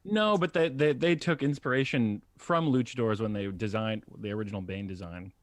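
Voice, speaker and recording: Male co-host, average pitch, dry sound, opinionated casual chat with the odd curse. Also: a little fast, no room sound. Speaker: podcast co-host.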